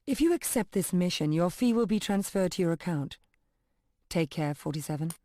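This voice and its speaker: A polished female intelligence handler from a video game, played by an actress. Her lowish voice is recorded close and dry, delivering formal, measured mission briefings with businesslike composure. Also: expressive, mellow, no echo, natural voice. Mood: composed, briefing.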